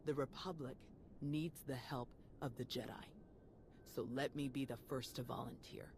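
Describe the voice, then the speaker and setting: An actor playing an earnest, humble and resolute Jedi in a video game, speaking sincerely and with measured calm. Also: medium pitch, noisy background, close to an everyday voice. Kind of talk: dramatic video game voice acting.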